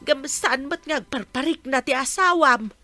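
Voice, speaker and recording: A woman's voice: sits high, normal speed, over music, close to an everyday voice. An actress playing a concerned mother of a grown son in an Ilocano radio drama. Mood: worried.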